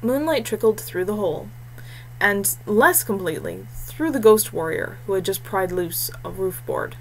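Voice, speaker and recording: A woman's voice: medium pitch, rough recording. A woman reading a scripted fantasy story as an audiobook narrator. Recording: clear steady background noise and hum.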